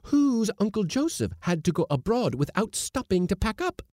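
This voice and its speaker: A fierce, hot-tempered woman cook from a seafaring storybook, acted out by a man. The voice is pushed well up high and swings widely as it scolds and hectors with loud indignation. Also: big pitch swings, normal speed, no room sound, studio quality. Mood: wrathful accusing. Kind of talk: storybook character voice in audiobook.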